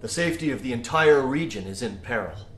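A man's voice: medium pitch, lively intonation, brisk, faint music behind, natural voice. A man playing an earnest, determined mission leader in an audio drama, speaking seriously and directly. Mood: urgent, firm.

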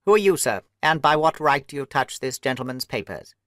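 A man's voice: animated, brisk, no room sound, studio quality, natural voice. Man putting on a crackling miserly old lord. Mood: suspicious. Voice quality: sharp crackling voice. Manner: blustering, shrill when angry. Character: miserly irritable little old male lord.

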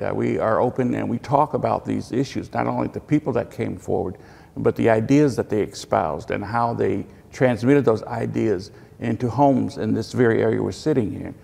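Gravelly older man, emphatic. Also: on the low side, moderate pace, warm tone. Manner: earnest, emphatic, builds to punchy lines. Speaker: museum representative. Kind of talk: interview answers. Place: indoor hall with displays. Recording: hall reverb.

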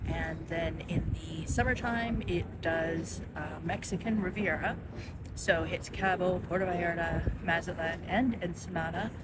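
A woman's voice: on the low side, a little slow, heavy background noise. A woman in her fifties chatting casually in a vlog, with many ums and restarts, filming herself outdoors at arm's length with her phone. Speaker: vlogger. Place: outdoors on a city pier.

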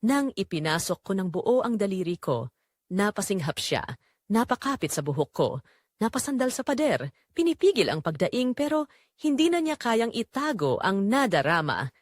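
A woman reading a scripted first-person audio drama in a dramatic, measured way with short pauses. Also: medium pitch, very animated, no room sound, studio quality. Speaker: narrator.

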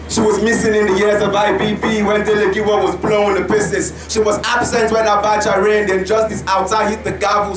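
A young Nigerian man reciting performance poetry with a rhythmic, impassioned delivery into a handheld mic at a live venue. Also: sits high. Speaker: spoken word poet. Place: indoor lounge venue with live audience. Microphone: handheld mic, far camera. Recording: roomy echo from far off, crowd behind.

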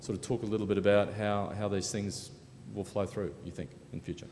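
A man's voice: lowish voice, fairly even intonation, a little fast, a little mellow. A man moderating a panel, putting questions in a casual way. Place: large hall panel event with audience. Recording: echoey hall, faint ambient noise.

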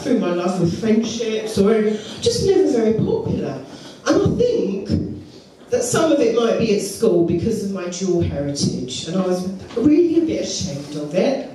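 A middle-aged woman doing a stand-up comedy set, telling stories and acting out other people's voices. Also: medium pitch. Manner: animated storytelling with acted-out voices. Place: comedy club stage. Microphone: handheld microphone. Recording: echoey club, from far off, crowd. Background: audience laughter.

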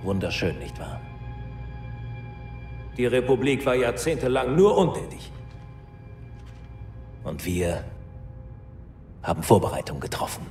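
Male gloating officer villain for game cutscene, mid-range voice, measured menace, music behind. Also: mid-range voice, sing-song, a little mellow. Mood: proud, gloating. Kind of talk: dramatic video game cutscene acting.

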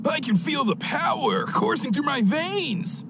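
A man's voice: very high voice, huge pitch swings, measured pace, over loud music, exaggerated voice. A man voicing a vain, boastful teenage alien slacker in a cartoon game, cocky and melodramatic.

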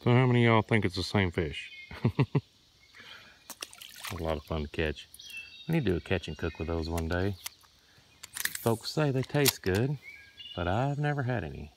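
A man giving relaxed commentary while fishing a creek on a handheld camera, chuckling and talking to viewers. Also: sits low, normal speed, long pauses. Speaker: fishing vlogger. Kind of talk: casual outdoor commentary. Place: outdoors by a creek. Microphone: handheld camera, point of view. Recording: outdoor camera audio with insect noise. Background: crickets and insects.

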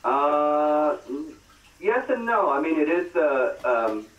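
A man in his forties, born in Korea and raised in America, calling in to a show and pausing often to search for words. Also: fairly high pitch, lively intonation, highs cut off. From born in Korea, grew up in America. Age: 40s. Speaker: caller. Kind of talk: casual phone-in chat. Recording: distant, echoey call-in line.

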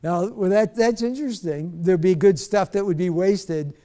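High-voiced pastor leading a bible study. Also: male voice, normal speed, warm tone. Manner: conversational, repeats words while searching, chuckles often. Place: church stage.

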